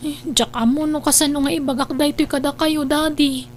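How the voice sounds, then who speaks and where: Woman acting high-voiced, worried young student. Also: fairly even intonation, a little fast, slight background noise, close to an everyday voice. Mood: anxious. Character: dutiful, worried young student, nagging her brother. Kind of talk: Ilocano radio drama acting.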